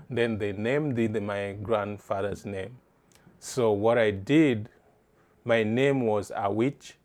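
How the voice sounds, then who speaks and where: A middle-aged man from East Africa answering interview questions in a halting way, restarting phrases as he searches for words. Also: fairly low pitch.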